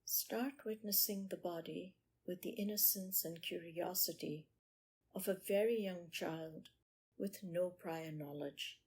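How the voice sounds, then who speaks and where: Woman, meditation teacher, very unhurried delivery, husky tone, short measured phrases, pauses, instructional. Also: lowish voice, darkish tone. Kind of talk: guided meditation.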